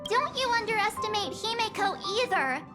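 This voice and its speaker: A woman's voice: very high voice, animated, normal speed. A young actress playing a bubbly, teasing young woman who is a member of a train crew. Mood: proud. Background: game soundtrack music.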